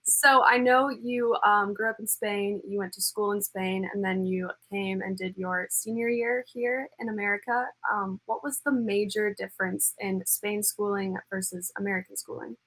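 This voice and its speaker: A woman's voice: mid-range voice, fairly even intonation, normal speed, hardly any pauses, fairly bright tone, rough recording. A young woman hosting a student show, asking casual, rambling interview questions.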